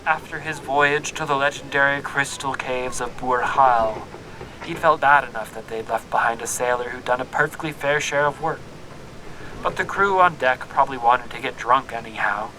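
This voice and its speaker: An actor playing a reflective young traveler, narrating his journey in a measured, literary way for a scripted audio drama. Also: mid-range voice, sharp tone, slight background noise, lightly acted, poor audio.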